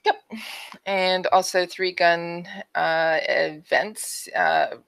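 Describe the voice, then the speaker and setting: A woman's voice: fairly low pitch, fairly even intonation, very slow, creaky, fairly bright tone, smooth, no room sound. A woman with a Canadian accent co-hosting a podcast, chatting casually and reading out announcements. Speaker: podcast co-host.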